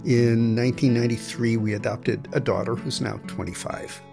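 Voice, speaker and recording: Gravelly man telling his story. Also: medium pitch, level tone, over music. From United States. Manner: reflective, measured. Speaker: interviewee. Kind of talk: personal storytelling.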